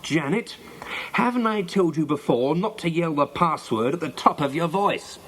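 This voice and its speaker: Cross young club chief for a storybook, authoritative, lively intonation, slightly husky; man performs him. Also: mid-range voice, moderate pace. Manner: authoritative, impatient. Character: bossy, cross schoolboy leading a secret club. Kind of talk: children's storybook character reading.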